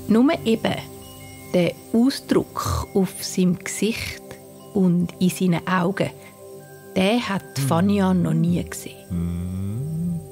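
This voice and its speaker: A woman with a Swiss German accent narrating a scripted bedtime story for children in a gentle, soothing manner. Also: lowish voice, expressive. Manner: slow, gentle, soothing delivery. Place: studio. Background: soft music and sound design.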